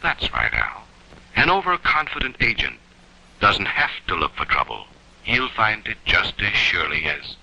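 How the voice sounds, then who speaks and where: An actor playing a stern, steady spy instructor giving measured admonishments in a 1940s training film voice-over. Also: male voice, lowish voice, gravelly, heavily acted, rough recording. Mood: admonishing. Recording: old film soundtrack, hum and hiss.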